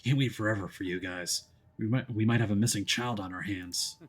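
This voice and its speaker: A man voicing a stubborn, hard-bitten male detective in a visual novel, with a gruff, terse delivery. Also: fairly low pitch, fairly quick, close to an everyday voice. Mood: defiant. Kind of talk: visual novel character reading.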